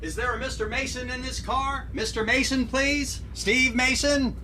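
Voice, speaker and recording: A man playing a brisk train conductor in an old-time radio drama. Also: very high-pitched, fairly even intonation, noisy background. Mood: calling out.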